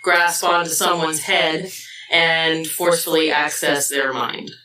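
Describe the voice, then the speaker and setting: A woman in a casual tabletop game chat, pausing to think as she introduces her character. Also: sits low, level tone, sharp tone. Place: indoor room, group around a table. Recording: echoey, distant mic, faint noise.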